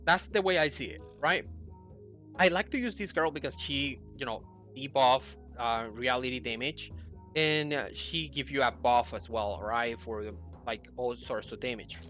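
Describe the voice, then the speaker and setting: Young guy doing a chatty game guide, bright clear-cut voice, some music behind him. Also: medium pitch, unhurried. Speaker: gaming content creator. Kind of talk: casual game guide commentary. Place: indoor room at home.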